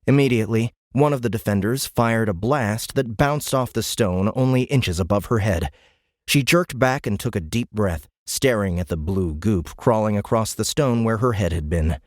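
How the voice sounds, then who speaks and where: A man with a lowish voice reads dramatic science fiction prose in measured, grave phrases. The recording is very dry and close, like a studio booth. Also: no room sound. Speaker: audiobook narrator. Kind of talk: dramatic scripted narration of military science fiction.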